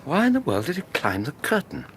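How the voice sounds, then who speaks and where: An actor playing a hearty, loyal Victorian ex-army gentleman with an English accent, bluff and old-fashioned in a British radio drama. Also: on the high side, huge pitch swings, brisk, a little hiss or hum. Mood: puzzled.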